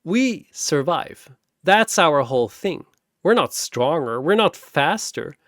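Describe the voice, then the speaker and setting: A man's voice: a little high, big pitch swings, slow, a bit bright, dry recording, clean studio sound, heavily acted. A man voicing a cocky, battered comic pirate with a smug, quippy manner in a told story. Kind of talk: storybook-style character voice.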